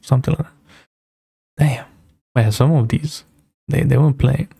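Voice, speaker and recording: A young man giving casual live reaction commentary as a streamer, with a husky, gravelly voice. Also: mid-range voice, normal speed, mellow, no echo, studio quality. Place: indoor home streaming room.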